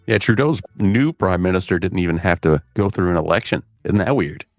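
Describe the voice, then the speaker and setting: A man from Michigan co-hosting a live talk show with wry, deadpan quips. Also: low voice, fairly quick, dry recording, faint music behind. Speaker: co-host. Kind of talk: casual chat.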